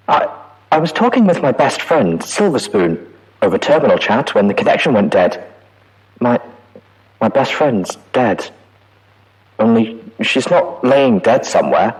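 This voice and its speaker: A man's voice: medium pitch, very animated, measured pace, pauses often, pitched above the performer's own voice, low-quality recording. A man reading as a frightened young filly. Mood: shaken. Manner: anxious, stammering, pleading. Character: frightened young filly pony writing a diary. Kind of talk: audiobook character reading.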